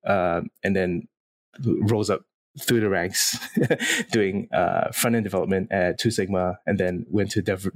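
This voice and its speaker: A man in his thirties from Singapore, a podcast guest talking in a relaxed, reflective way with small laughs. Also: deep voice, no echo. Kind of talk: casual interview conversation.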